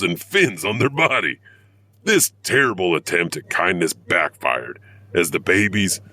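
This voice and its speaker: An ominous horror anthology narrator, played by a man, deep and very expressive. He tells urban legends theatrically, with wry, morbid asides and a forceful edge. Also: exaggerated voice. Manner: theatrical, sardonic, with knowing asides.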